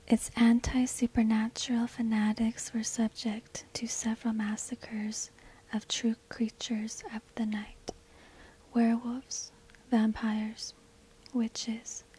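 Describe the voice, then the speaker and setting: A woman whispers a scripted story for ASMR, extremely breathy and without voicing. Her delivery is slow and deliberate, with very little melodic movement, as she narrates. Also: a little high, a little mellow, rough recording. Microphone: close binaural microphone.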